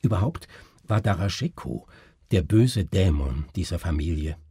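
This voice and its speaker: A grave, educated doctor, acted by a male narrator from a literary tale. He speaks formally and broodingly, with a very husky, mellow voice that stays close and dry. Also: sits low, expressive, moderate pace, dry recording, exaggerated voice. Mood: suspicious, intent.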